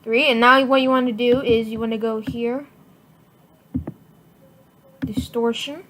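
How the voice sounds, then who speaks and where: Female, slightly high pitch, casual tutorial narration, halting instructions, lightly noisy home computer recording. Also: steady tone. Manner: informal, halting step-by-step instructions. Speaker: tutorial presenter.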